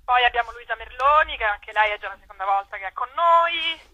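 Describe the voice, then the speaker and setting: A woman's voice: fairly high pitch, lively intonation, a little fast, bright, forceful tone, lo-fi sound. A woman, chatting casually as an interview guest, hesitant and often trailing off.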